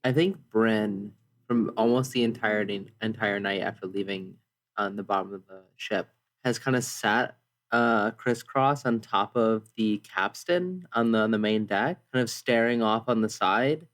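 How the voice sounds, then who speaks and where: A man's voice: fairly low pitch, a little slow, a bit bright. A man playing a tabletop game, improvising descriptive narration of what his character does.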